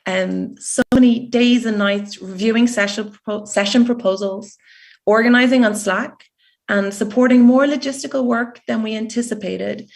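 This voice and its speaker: A woman opens an online festival as its host, sincere and grateful, pausing now and then with small hesitations. Her voice has a gravelly, fry-edged texture. She sits some distance from the mic, so the sound is a little remote. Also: fairly high pitch, fairly even intonation. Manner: earnest and appreciative, with a few hesitations. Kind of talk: online festival opening address. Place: home.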